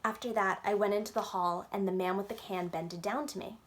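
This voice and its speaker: A woman's voice: mid-range voice, steady tone, fairly quick. A woman reading a children's book aloud expressively, as a library storyteller, with dramatic, childlike first-person narration.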